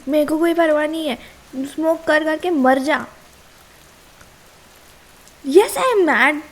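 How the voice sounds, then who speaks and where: A woman's voice: sits high, heavily acted. A young woman with an Indian accent playing a caring but easily exasperated, nagging girlfriend in a casual ASMR roleplay, mixing two languages. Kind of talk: ASMR girlfriend roleplay, Hindi mixed with English. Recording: hiss and rain sound behind.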